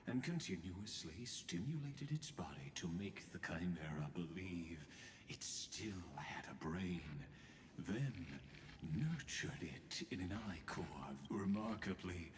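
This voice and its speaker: A man voicing a cold, scheming sage in a video game, speaking in a slow, theatrical, menacing manner. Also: deep voice, slight background noise. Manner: slow, deliberate, theatrical delivery. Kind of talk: dramatic video game dialogue. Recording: long reverb, music underneath.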